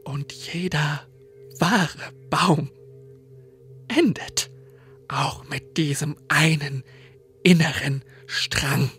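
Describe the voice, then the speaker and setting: Horror audio drama: brooding, unhinged male castaway, played by an actor, halting and fluttery, near whispering, very slow delivery. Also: a little high, a bit bright, slightly rough, soft background music, full character voice. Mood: shaky, fervent whisper. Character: unhinged male survival contestant, brooding over tree rings.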